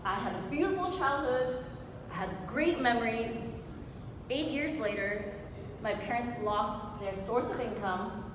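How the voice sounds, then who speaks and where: Casting: woman motivational speaker, 40s, from Hong Kong, slightly high voice, heard in a very reverberant hall. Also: lively intonation, a little slow. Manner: rhetorical questions to the audience. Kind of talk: motivational speech telling a personal story. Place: large echoing room before an audience. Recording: very echoey hall with clear noise and rumble.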